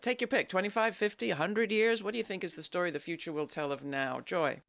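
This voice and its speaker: A man's voice: sits high, fast, creaky, a bit bright, dry recording, studio quality. A man hosting a political panel, asking thoughtful, somewhat hesitant questions. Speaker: host.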